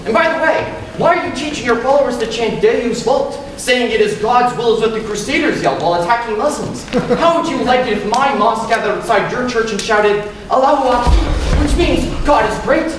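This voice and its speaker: A young student actor playing a proud, indignant imam on stage, speaking emphatically. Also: male voice, very high-pitched, lively intonation, a little fast. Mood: argumentative. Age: teenager or young adult. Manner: emphatic, declamatory. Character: indignant, proud adult male imam defending his mosque. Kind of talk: high school stage play acting. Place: large school auditorium, on stage. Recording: distant echoey school hall, background hiss.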